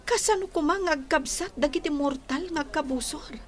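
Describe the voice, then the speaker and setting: A woman's voice: high voice, normal speed, close to an everyday voice. A woman with an Ilocano Filipino accent playing a guarded, troubled young lady in a radio drama. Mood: troubled, resolute. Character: guarded young lady, wary and troubled over her beloved.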